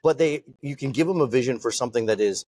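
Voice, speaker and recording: A man's voice: mid-range voice, fast talker, a little mellow, no echo. A man interviewing a martial arts instructor, chatting casually and rambling a bit as he asks questions. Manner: casual, rambling with fillers and restarts. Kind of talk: interview conversation.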